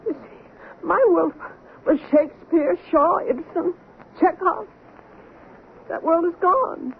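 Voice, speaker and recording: A troubled stage wife with a notably high voice, theatrical and halting, weighed down by guilt. It is 1970s radio drama, heard over a tinny, narrow band with slight noise. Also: female voice, gravelly, full character voice. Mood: anguished.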